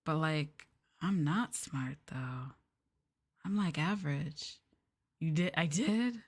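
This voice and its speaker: A woman's voice: sits low, lively intonation, takes it slowly, slightly rough. A young woman with a Canadian accent chatting casually on a stream, rambling and giggly with lots of "like" and "um", often poking fun at herself. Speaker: VTuber streamer. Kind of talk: casual late-night chat stream.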